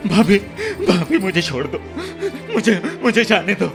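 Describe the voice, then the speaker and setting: A man playing a remorseful, tearful younger brother in a radio drama, pleading haltingly and repeating his words. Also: high-pitched, sing-song, gravelly, full character voice. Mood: agitated, pleading. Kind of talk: Hindi radio drama acting. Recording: music under the voice.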